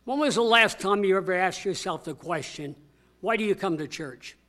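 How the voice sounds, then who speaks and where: An older man preaching a sermon to a church congregation, booming and emphatic, slowing down to stress key words. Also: lively intonation, a bit bright. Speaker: pastor.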